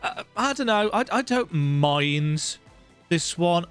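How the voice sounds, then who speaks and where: Male let's play host: casual, conversational and rambling, with animated pitch movement over light background music. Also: takes it slowly, clean tone. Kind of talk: casual game commentary.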